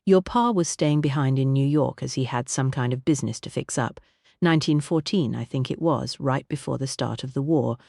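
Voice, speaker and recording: A narrator voicing a tall, glamorous American woman entertainer with a soft, smooth American accent, affectionate and motherly. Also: rumbling low, normal speed, no echo, clean studio sound, exaggerated voice. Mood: confiding. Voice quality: smooth, honeyed drawl. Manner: affectionate, calls everyone honey.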